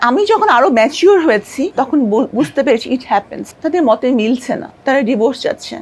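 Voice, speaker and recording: High-pitched Bangladeshi woman speaking emphatically. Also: lively intonation, brisk, darkish tone, slightly rough. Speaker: interview guest, doctor. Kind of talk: personal life-story interview.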